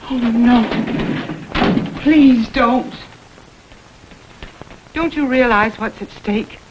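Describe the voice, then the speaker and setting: An actress playing a guarded, anxious institution director in an old crime drama, speaking formally and earnestly. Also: female voice, on the high side, extremely slow, muffled recording. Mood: pleading. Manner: formal, earnest, careful wording. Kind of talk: vintage American television crime drama acting. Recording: faintly noisy vintage film soundtrack.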